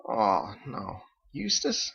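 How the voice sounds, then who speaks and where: A man acts out a folksy ship's hand in an audiobook. He is terse, slow and resigned, with a creaky voice. The recording is slightly dull. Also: lowish voice, huge pitch swings, pushed and bright, heavily acted.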